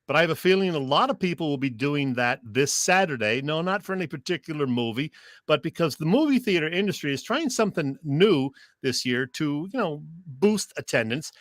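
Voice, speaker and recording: A man's voice: fairly high pitch, expressive, fairly quick, no echo. A man co-hosting a sports radio show, chatting casually and conversationally with a joking streak.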